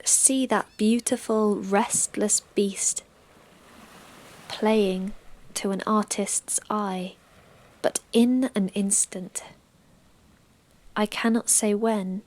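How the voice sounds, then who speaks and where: Female poetry reader, mid-pitched, drawn-out delivery with dramatic pauses. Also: fairly even intonation, a little mellow, poor audio. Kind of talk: poetry recitation.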